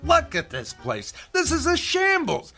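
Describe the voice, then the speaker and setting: Guy playing an outraged fussy store manager, high sharp voice, blustering, radio comedy. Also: huge pitch swings, measured pace, soft background music, full character voice. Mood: indignant. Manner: blustering, demanding. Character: indignant, officious store manager, a blustering man. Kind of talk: comedic radio drama acting.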